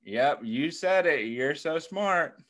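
Slow-talking American story time man. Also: a little high, expressive, a bit bright. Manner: encouraging, asking questions and praising listeners. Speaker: children's story time host. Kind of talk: interactive picture book read-aloud for young children. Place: indoors on a video call.

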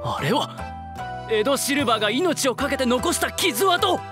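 A man's voice: extremely high pitch, animated, normal speed. A man playing a kindly, easily flustered young man who talks politely and dramatically in a comedic voice anime. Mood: flustered, dramatic. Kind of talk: Japanese voice-anime comedy acting. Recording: music bed behind the voice.

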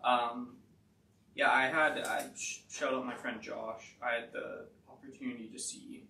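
A man talking in a hesitant, halting way on a casual podcast. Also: medium pitch, fairly even intonation, vocal fry, bright, forceful tone. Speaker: podcast guest. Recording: echoey room, distant mic, faint noise.

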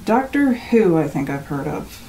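A woman's voice: deep voice, big pitch swings, a little slow, warm tone, a little hiss or hum, low-quality recording. A woman acting as a curt, stressed rental guest. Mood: mildly complaining. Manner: blunt, dismissive, casual. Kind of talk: naturalistic audio drama acting.

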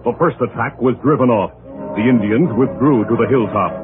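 A man's voice: mid-range voice, normal speed. A man narrating a scripted adventure drama in a dramatic 1930s radio style. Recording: tinny old radio recording with music.

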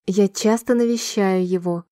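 A woman reading short sentences slowly and clearly for a language-listening drill, repeating each one in a measured, even way. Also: medium pitch, no room sound. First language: Russian. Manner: clear, measured, evenly paced repetition. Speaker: Russian sentence reader.

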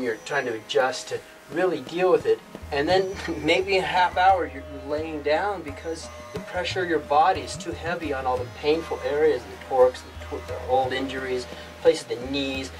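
A young man giving a meandering spiritual yoga talk in an outdoor rock cave, halting often and restarting his sentences. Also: fairly high pitch. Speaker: yoga teacher. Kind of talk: rambling spiritual teaching monologue. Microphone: far camera. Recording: distant mic with music behind. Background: instrumental music.

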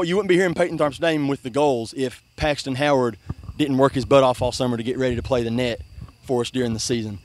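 A young man, a soccer coach giving a postgame interview outdoors by a river at night, soaked from a celebratory jump, talking earnestly with many restarts and "I mean". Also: medium pitch. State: soaking wet after a river jump. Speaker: high school soccer coach.

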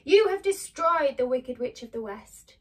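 Woman as little male fairy-tale wizard, bluffing, grand, formal, measured, emphatic. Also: a little high, lively intonation, a bit bright, distant mic. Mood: grand, evasive.

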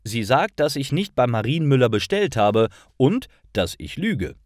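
Man voicing an earnest, animated young woman. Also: mid-range voice, measured pace, no echo, studio quality, pitched above the performer's own voice. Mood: upset. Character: earnest young woman upset over an undelivered dress.

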